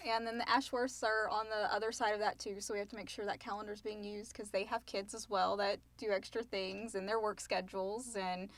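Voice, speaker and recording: A woman's voice: medium pitch, little pitch movement, brisk, hardly any pauses, fairly bright tone. A middle-aged woman chatting casually as a podcast co-host. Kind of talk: casual Q&A chat. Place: home podcast studio.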